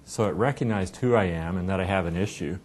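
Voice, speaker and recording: Low-voiced middle-aged man explaining. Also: quick pace, darkish tone. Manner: explanatory, conversational. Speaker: software company representative giving a demo. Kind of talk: interview and product demonstration. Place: indoor meeting room. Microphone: clipped lapel microphone. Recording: clear low rumbling room noise.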